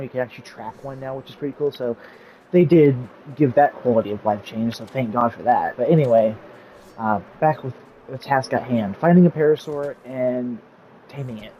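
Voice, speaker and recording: A man's voice: mid-range voice, muffled recording, a little hiss or hum. A man narrating a game tutorial over gameplay, rambling with lots of fillers, and he says he is losing his voice. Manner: rambling, filler-heavy, easily sidetracked. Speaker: gaming YouTuber. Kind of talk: casual gameplay tutorial commentary.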